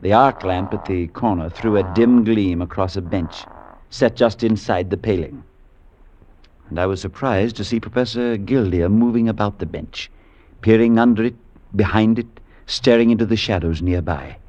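Lowish-voiced, thoughtful priest played by a man. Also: normal speed, a little mellow, lightly acted. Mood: grave, reflective. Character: thoughtful, compassionate priest recalling an eerie tale. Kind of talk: 1940s radio acting and narration. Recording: dull vintage 1940s radio broadcast, music underneath.

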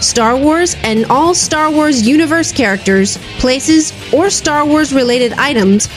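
A woman's voice: high-pitched, animated, a little slow, low-quality recording. A woman reading a scripted podcast outro as an announcer, with guitar music behind her.

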